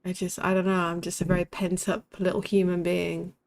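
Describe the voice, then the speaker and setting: A woman's voice: on the low side, steady tone, moderate pace, darkish tone. Sweary woman streamer with a lisp. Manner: blunt and sweary, laughs often. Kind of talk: casual chat while playing a shooter game.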